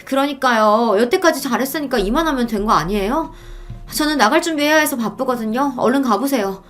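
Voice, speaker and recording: A woman's voice: sits high, expressive, brisk, faint music behind, voice pushed up. A woman voicing a cold, contemptuous younger daughter-in-law in a radio story, curt and brazen. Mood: sneering, contemptuous.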